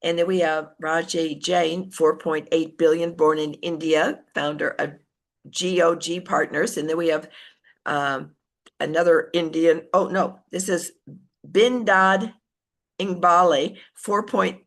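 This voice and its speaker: A woman's voice: low voice, steady tone, a little slow. An American woman giving an informal business talk as a team leader, conversational and often correcting herself as she goes. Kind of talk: informal business motivational talk, partly reading from notes.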